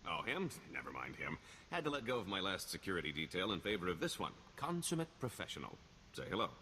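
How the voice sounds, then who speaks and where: A silver-tongued video game swindler with a low male voice that rises and dips with great expression. He is self-assured and wry, always working a sales pitch. A light layer of noise sits behind him. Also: huge pitch swings, fast talker, pushed and bright, full character voice. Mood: glib, ingratiating. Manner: wheedling salesman patter, wry. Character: smooth-talking male con-artist schemer, sly and self-assured. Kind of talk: video game dialogue acting.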